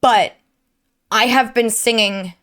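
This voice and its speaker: A woman's voice: on the high side, takes it slowly, fairly bright tone. Young woman, sore strained throat, earnest, rambling, frustrated, home desk mic. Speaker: streamer and YouTuber. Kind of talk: candid personal update to viewers. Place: home room at her desk.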